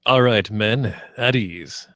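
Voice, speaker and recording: A man's voice: on the low side, slow, fairly bright tone, dry recording, clean studio sound. A man playing a suave, sentimental spaceship captain in his fifties, speechifying grandly at a comedy table read. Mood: grand, earnest. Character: suave fifty-something male spaceship captain, oddly sentimental.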